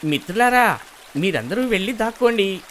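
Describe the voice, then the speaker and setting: A performer voicing a lively, boastful cartoon boy. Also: mid-range voice, normal speed, lightly acted. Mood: playful, boastful. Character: playful, boastful young boy leading a water game. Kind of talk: children's cartoon story dialogue.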